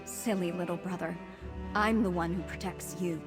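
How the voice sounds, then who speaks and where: A woman's voice: lowish voice, steady tone, moderate pace, music in the background, lightly acted. A young woman playing an anxious, protective twin sister in a fantasy video game, earnest and cautious. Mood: fondly teasing. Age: young adult.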